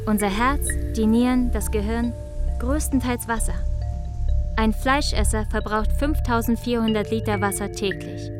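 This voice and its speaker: Documentary narrator, female actor, higher voice, measured scripted fragments with music underneath. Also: lightly acted. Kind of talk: scripted narration in a radio drama.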